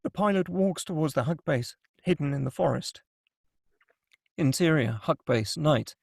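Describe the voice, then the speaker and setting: A man narrates a screenplay's stage directions with dramatic intent. His voice sits a little high with plenty of fry and a slight husk, recorded close and dry. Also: lively intonation, unhurried, darkish tone, no echo. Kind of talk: dramatic scripted narration at a script table read.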